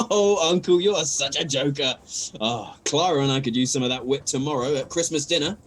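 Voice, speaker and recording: A man acting a cheerful, naive, excitable nephew in a comic radio play, gushing and exclamatory. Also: a little high, moderate pace, a little hiss or hum, natural voice. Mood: jolly.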